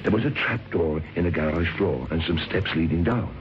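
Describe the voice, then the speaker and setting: A man's voice: low voice, fairly quick, faint music behind, lightly acted. An actor playing a genial, familiar barman making chuckling small talk in an old radio drama. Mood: confiding, amused. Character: chatty, genial barman, ex-bootlegger's helper. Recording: muffled, noisy old radio recording.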